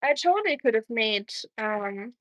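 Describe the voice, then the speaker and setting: A woman's voice: on the high side, animated, unhurried, fairly bright tone, highs cut off. A woman from Germany with a German accent, chatting casually while cooking on a livestream and answering viewer comments.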